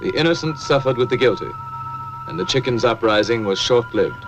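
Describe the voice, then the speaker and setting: A man's voice: medium pitch, expressive, brisk, natural voice. A man narrating an animal fable as a solemn storyteller, in scripted film style. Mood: solemn, measured. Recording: dull old film soundtrack, music behind.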